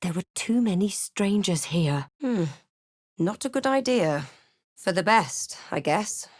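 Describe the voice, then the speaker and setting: A woman playing a wry, wary spellcasting adventurer in a video game, speaking in a measured, dramatic and dry manner. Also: mid-range voice, lively intonation, dry recording. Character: snarky adventurer heroine, wary, wry, spellcasting fantasy protagonist. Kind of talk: video game voice lines, remarks and first-person narration.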